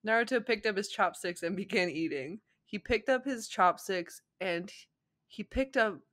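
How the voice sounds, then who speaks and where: A woman's voice: mid-range voice, moderate pace, a bit bright. A young adult woman casually narrating fan fiction with banter, sounding amused and giggly.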